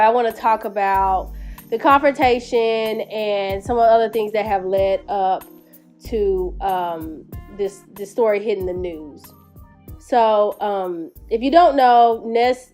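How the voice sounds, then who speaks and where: A woman's voice: medium pitch, darkish tone. A woman YouTuber with a stuffy nose from a cold, chatting casually to her viewers, rambling with lots of fillers and restarts. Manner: rambling, with many fillers and self-corrections. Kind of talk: casual commentary vlog.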